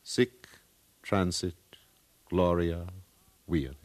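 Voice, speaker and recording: A man's voice: low voice, very slow, no echo. A man playing a pompous, solemn far-future archaeologist in a 1950s radio drama, lecturing like an orator. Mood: grave, oratorical.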